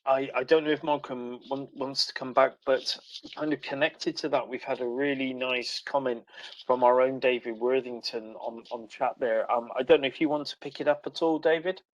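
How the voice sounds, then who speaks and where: Man, middle pitch, dry sound. Event host, informally handing over between speaker and questioners. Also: fairly even intonation, normal speed, no room sound, lo-fi sound. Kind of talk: informal moderating of audience questions.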